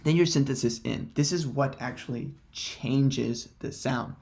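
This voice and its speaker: Man, gravelly creak, casual tutorial presenter, hesitant phrasing, unscripted. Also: mid-range voice, a little slow, darkish tone.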